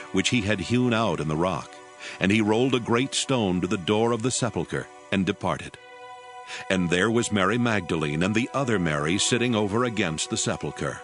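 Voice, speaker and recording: Deep solemn male scripture narrator. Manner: solemn, measured reading.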